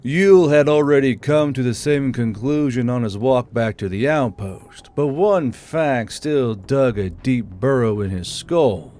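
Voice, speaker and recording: A man's voice: mid-range voice, lively intonation, measured pace, hardly any pauses, mellow. A man reading scripted audiobook fiction as its narrator. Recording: music under the voice.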